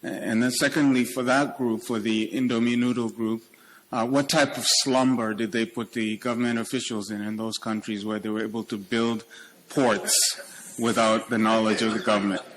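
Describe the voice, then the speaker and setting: Lowish guy in the audience fumbling through a two-part question. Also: little pitch movement, rough recording. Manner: halting, stumbling with fillers.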